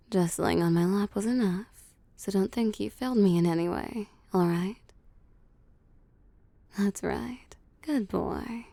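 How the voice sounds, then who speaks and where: A possessive female vampire acted by a woman murmurs to her prey in an intimate ASMR roleplay. She is unhurried and mellow, with a creaky edge, cooing and teasing and often sinking into a whisper. The sound is close to the mic. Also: medium pitch, no echo. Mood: soothing. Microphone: close, dry microphone.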